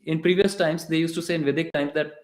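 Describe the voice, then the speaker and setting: A man's voice: a little high, steady tone, fast. Indian man giving an echoey temple talk. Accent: Indian English. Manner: conversational, with hesitations and restarts. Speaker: lecturer at a temple. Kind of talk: devotional lecture. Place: temple hall.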